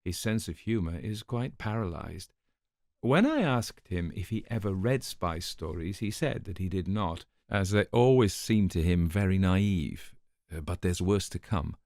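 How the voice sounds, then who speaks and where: Sardonic older raconteur for radio drama: low, creaky voice, urbane and precise. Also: male voice, expressive, a little slow, a little mellow, no room sound, studio quality. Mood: dry amusement. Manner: urbane, dryly ironic, precise wording. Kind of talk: wry storytelling in a radio drama.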